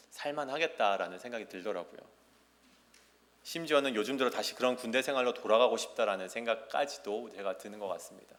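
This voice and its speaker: A man's voice: mid-range voice, a bit bright. A man in his thirties from South Korea, a pastor giving a sermon with personal storytelling in a church. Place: large church sanctuary. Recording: echoing church hall with long reverb.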